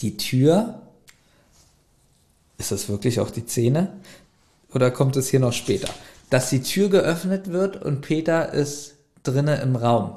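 A man's voice: medium pitch, expressive, slow pace, pauses often, warm tone. A man co-hosting a casual podcast, reading a plot summary aloud and breaking off for chatty side comments. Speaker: podcast co-host.

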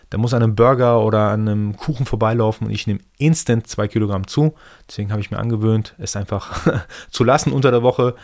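A man from Iran whose first language is Persian, hosting a casual solo podcast and thinking aloud in a relaxed way. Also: on the low side, gravelly. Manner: relaxed, thinking aloud with restarts and soft laughs. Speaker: podcast host.

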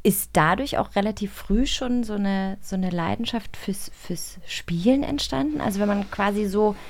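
A woman hosts in a middle-pitched voice. Her interviewing is casual and conversational. She comes across as effusive, informal and playful. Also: moderate pace. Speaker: podcast co-host.

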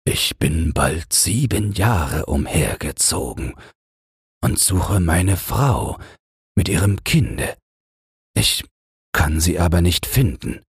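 A sorrowful king played by a male reader speaks in a deep, very breathy voice. He is weary and devoted, slow in speech, in a fairy tale read aloud. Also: sing-song, no echo, studio quality, heavily acted. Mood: weary, sad.

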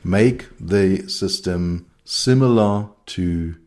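A man's voice: low voice, very slow, darkish tone. A middle-aged man with a German accent, a consultant giving a thoughtful solo talk with frequent fillers and restarts. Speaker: consultant and keynote speaker.

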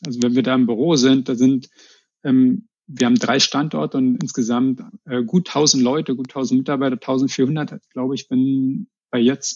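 Guy from berlin area chatting as a guest, gravelly and raspy, kinda dull audio. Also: medium pitch, darkish tone, no echo. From Berlin area, Germany. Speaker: interview guest, customer experience manager. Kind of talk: casual conversational interview answers.

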